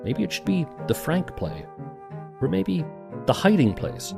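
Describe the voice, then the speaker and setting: Man, podcast host, very lively intonation, wry conversational narration, medium pitch, faint music behind. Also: unhurried, creaky. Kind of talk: scripted documentary narration.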